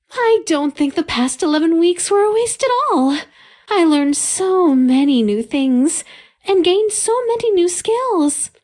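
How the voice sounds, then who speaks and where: A high-pitched, unhurried young woman in a video game cutscene. She is lovesick and obsessive, confessing in a dramatic monologue that swings widely in pitch. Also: a little mellow. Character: lovesick, obsessive young woman who kidnaps her crush.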